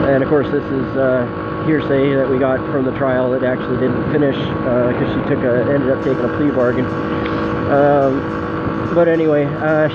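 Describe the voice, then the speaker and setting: A man in his thirties with a head cold and a stuffed-up voice, rambling casually in a vlog with lots of fillers. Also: medium pitch. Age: 30s. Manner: unhurried, thoughtful, frequent fillers. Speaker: motovlogger. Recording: dull lo-fi sound, loud music behind.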